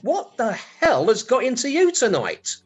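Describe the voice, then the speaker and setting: A man's voice: very high-pitched, huge pitch swings, a little slow, breathy edge, soft background music, full character voice. A man playing a grumpy, dismissive middle-aged British husband in a radio comedy play, sardonic and grumbling. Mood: irritable.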